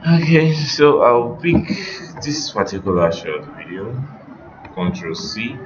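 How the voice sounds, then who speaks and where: A man giving an informal software tutorial, thinking aloud in a halting way as he works. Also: low voice. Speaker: web design instructor. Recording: muffled, roomy, noisy home recording.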